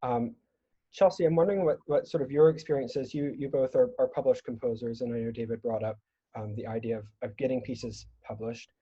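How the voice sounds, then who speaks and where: Casting: man as panel moderator, soft dark husky voice, tentative phrasing, dull video-call sound. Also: medium pitch, steady tone, fairly quick. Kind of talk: casual moderated discussion.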